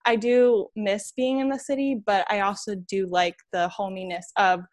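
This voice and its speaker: A woman's voice: mid-range voice, steady tone, normal speed, a little mellow. A woman in her twenties from New York, answering interview questions in a chatty, enthusiastic way as a podcast guest. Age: 20s.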